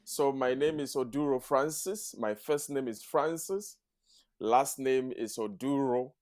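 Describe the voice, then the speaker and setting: A man's voice: mid-range voice, a little mellow. Earnest man in his thirties. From Ghana. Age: 30s. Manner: earnest, measured, speaks in full formal sentences. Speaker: guest, math teacher. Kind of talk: podcast interview.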